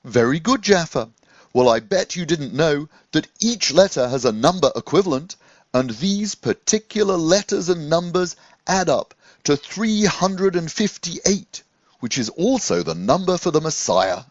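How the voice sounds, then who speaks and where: A man's voice: on the high side, very animated, unhurried, no echo, muffled recording, pitched above the performer's own voice. A man voicing a cheerful, welcoming rabbi in a children's storybook, teaching keenly with an encouraging manner. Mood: encouraging, explaining.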